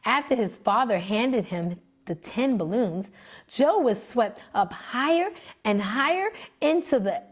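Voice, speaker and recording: A woman librarian reading a children's picture book aloud with animated, expressive storytelling. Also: fairly high pitch. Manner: animated, expressive, sing-song narration.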